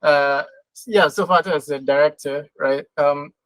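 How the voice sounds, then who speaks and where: A woman's voice: low voice, normal speed, slight background noise. A woman hosting a podcast interview, asking questions in a casual, conversational style. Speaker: podcast host and writer.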